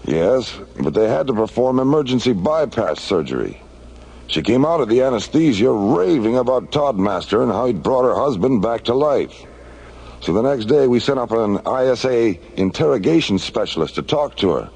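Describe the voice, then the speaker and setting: Animated, serious space commissioner. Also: male voice, medium pitch, normal speed, creaky, breathy edge, full character voice, rough recording. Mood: serious, explanatory. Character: matter-of-fact male space agency commissioner. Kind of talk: science fiction radio drama acting.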